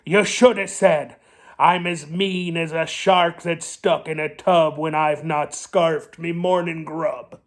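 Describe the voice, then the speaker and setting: A man voicing a cranky, blustering pirate captain in a storybook, with slow, salty pirate speech. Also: a little high, steady tone. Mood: exasperated.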